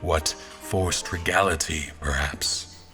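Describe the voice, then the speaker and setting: A haughty royal officer, acted by a man, narrates in a low voice. His delivery is dry, formal and disdainful, with music clearly playing underneath. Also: steady tone, slow, pushed and bright, exaggerated voice. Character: haughty, observant male royal officer recounting events. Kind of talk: scripted first-person narration.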